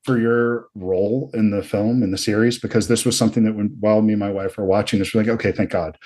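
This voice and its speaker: An American man hosting a podcast, talking casually and reflectively with a slightly husky voice. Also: fairly low pitch, steady tone, fast, darkish tone. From Georgia and New Jersey, United States. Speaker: podcast host.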